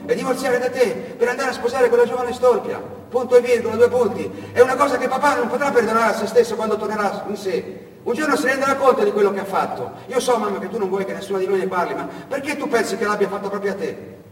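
A man playing a naive, earnest, excitable migrant boy in a stage monologue, dictating a letter aloud. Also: extremely high pitch, fast talker, voice pushed up. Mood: indignant, pleading. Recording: echoey hall, distant mic, faint noise.

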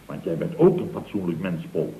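A man's voice: low-pitched, big pitch swings, a little fast, mellow. An actor playing a scheming, mock-innocent contractor boss in a 1960s radio comedy, with a Netherlands Dutch accent, stammering out repeated phrases as he insinuates. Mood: sly insinuation. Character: wheedling, scheming male building contractor boss. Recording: muffled old radio recording, roomy sound.

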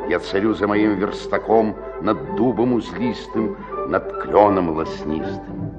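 A man's voice: on the low side, slow pace, music in the background. An actor playing a merry, talkative middle-aged joiner who loves drink, in a theatrical radio-play monologue, savouring his words and chuckling. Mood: savouring, boastful. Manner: savouring, chuckling, rhetorical, swinging from murmurs to exclamations. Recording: dull lo-fi 1960s radio recording.

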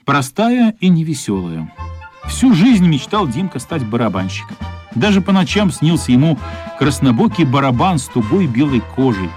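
A man's voice: on the high side, big pitch swings, normal speed, darkish tone, faint music behind. A man narrating a radio play as dramatic scripted storytelling, expressively voicing the characters' thoughts.

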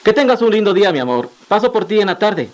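An actor playing a nervous, guilty husband who mutters and exclaims in a radio drama. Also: male voice, sits high, sing-song, quick pace. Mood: forced affection. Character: nervous, guilty husband, secretly panicking while driving. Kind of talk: Spanish-language radio drama acting. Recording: noisy 1990s radio drama with car sounds.

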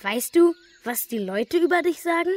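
A woman's voice: very high-pitched, expressive, normal speed. A performer with a female-sounding voice playing a curious young boy full of wonder in a radio play.

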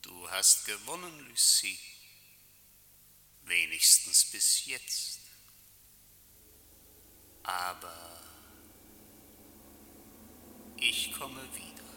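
An actor playing a sinister, taunting accuser who knows everyone's secrets, declaiming in a theatrical radio play. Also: male voice, low voice, lively intonation, extremely slow, pauses often, sharp tone, heavily acted. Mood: quiet menace. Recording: long hall-like reverb.

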